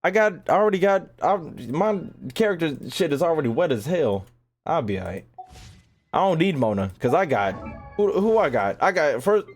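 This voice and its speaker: Animated, higher-pitched man streaming a game. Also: moderate pace, faint music behind. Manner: slangy, profane, mock-exasperated. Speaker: video game streamer talking to chat. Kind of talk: casual livestream chat while playing.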